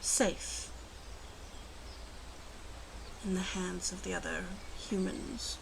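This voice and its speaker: Noticeable ambient noise sits behind a female werewolf, acted by a woman in an ASMR roleplay. She speaks slowly and softly with a gravelly creak, often dipping into whispers. Her manner is protective and gruffly bossy. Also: lowish voice, steady tone. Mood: calm, matter-of-fact. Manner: slow, murmuring, often drops into whispers. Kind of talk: ASMR roleplay, close and soft-spoken. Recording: clearly audible ambient background noise.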